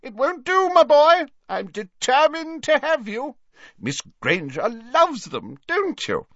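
A man voicing a jovial, pushy older male professor in a booming, theatrical storybook voice. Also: very animated, slow pace, fairly bright tone, no echo, highs cut off, exaggerated voice. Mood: cajoling, insistent. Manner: theatrical, effusive, cajoling.

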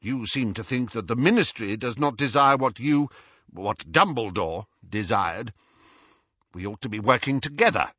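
A man performs a stern older government minister for a storybook, pompous and menacing. The growling voice is husky and swings widely in pitch. The audio is slightly dull. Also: expressive, moderate pace, sharp tone, no room sound. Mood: stiff, regretful.

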